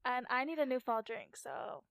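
A woman's voice: high-pitched, moderate pace, vocal fry, dry recording. A young woman co-hosting a casual chat, giggly and easygoing. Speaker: podcast co-host.

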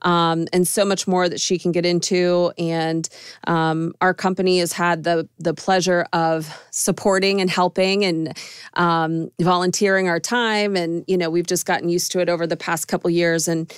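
Upbeat woman podcast host, smooth voice. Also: on the low side, little pitch movement, normal speed, no room sound, studio quality. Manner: upbeat and enthusiastic, many fillers. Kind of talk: casual interview hosting.

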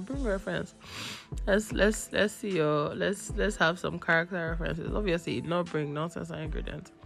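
A young Nigerian woman with a cold, losing her voice, hosting a podcast and telling a story in a chatty, animated way. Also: mid-range voice, gravelly. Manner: chatty, animated, acts out texts in quotes. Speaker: podcast host. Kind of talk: casual storytelling.

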